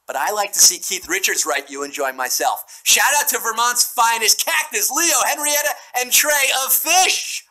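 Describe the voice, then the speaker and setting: A man hosting a live trivia game show with playful, punny, high-energy banter. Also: sharp tone, rough recording. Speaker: quiz show host.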